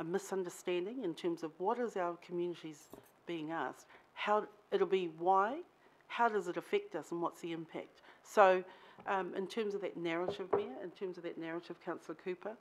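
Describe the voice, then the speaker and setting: A woman from a local board answering councillors carefully, halting and using many fillers. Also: fairly low pitch, slight background noise, poor audio. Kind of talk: answering questions at a council meeting. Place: council chamber.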